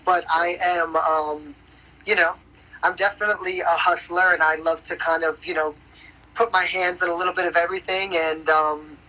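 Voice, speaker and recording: Woman, raspy singer, bright tone, rambling fillers, patchy live stream. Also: lowish voice, steady tone, normal speed, rough recording. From New York. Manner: upbeat, rambling with many fillers. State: strained voice on vocal rest. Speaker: singer and entertainer, guest. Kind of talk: casual interview on a live call. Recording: bad connection on a live stream.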